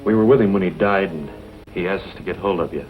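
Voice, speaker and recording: An actor playing a menacing crook in an old western film, smooth-talking with a threatening edge. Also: male voice, deep voice, fast talker, lightly acted. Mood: coaxing. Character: menacing male crook coaxing and threatening a boy. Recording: muffled vintage film soundtrack, music underneath.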